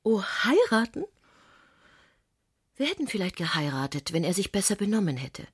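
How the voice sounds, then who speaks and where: A woman's voice: medium pitch, big pitch swings, moderate pace, breathy edge, dry recording, studio quality. An actress playing a wounded, tipsy society lady in a radio drama, speaking breathily and half in a whisper. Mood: wounded, probing.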